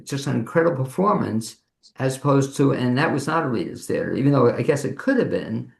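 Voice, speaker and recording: A man's voice: mid-range voice, animated, a little fast, vocal fry. A man hosting an interview, asking guests questions in a casual, chatty way. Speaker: interviewer, host. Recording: roomy, echoing sound.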